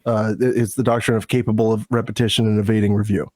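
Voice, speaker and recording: A man's voice: fairly low pitch, little pitch movement, quick pace, darkish tone, dry recording. A man co-hosting a casual podcast, congested from a sinus infection, with wry, exasperated asides. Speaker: podcast co-host. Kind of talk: casual political chat.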